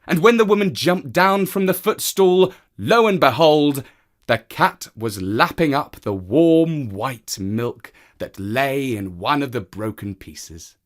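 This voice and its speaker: A storyteller reading a children's tale in an expressive, theatrical way, speaking straight to the listener. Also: mid-range voice, slow pace.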